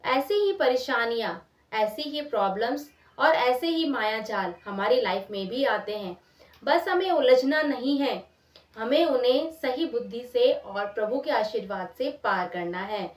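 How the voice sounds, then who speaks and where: A woman's voice: a little high, lively intonation, measured pace. A woman telling a moral story expressively in everyday, conversational words, repeating phrases for emphasis. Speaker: storyteller, channel host. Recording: roomy echo, distant from mic.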